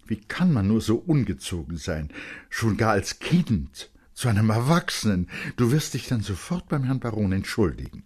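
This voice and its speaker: Man doing a strict mom telling off her kid, audiobook style, pitched up, flustered n bossy, real up and down. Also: very animated, moderate pace, a little mellow, slightly rough. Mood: reproachful. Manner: reproachful, commanding. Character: stern, flustered mother scolding her young son. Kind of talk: dramatic literary audiobook acting.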